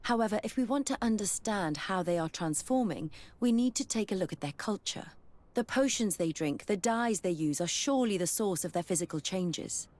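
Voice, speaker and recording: An actress playing a determined, wary scientist-explorer in a fantasy game, earnest and articulate. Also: female voice, medium pitch, animated, normal speed, darkish tone, lightly acted. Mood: composed. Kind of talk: scripted game cutscene acting.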